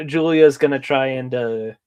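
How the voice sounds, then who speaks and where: A man's voice: mid-range voice, normal speed, a little mellow, smooth. A man playing a tabletop role-play game, talking hesitantly with lots of fillers. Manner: hesitant, many fillers, giggly. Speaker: player. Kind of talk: casual chat at a game table.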